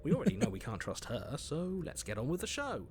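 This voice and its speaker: An actor voicing a scheming, opportunistic inner voice in a video game, speaking in a smooth, conspiratorial way. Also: male voice, on the high side, wildly swooping pitch, fairly quick, over music.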